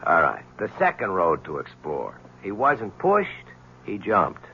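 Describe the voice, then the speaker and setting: An actor playing a persistent male newspaper reporter who keeps probing with quick questions in a 1970s radio drama. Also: sits low, huge pitch swings, exaggerated voice. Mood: blunt. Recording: muffled old radio broadcast with hiss.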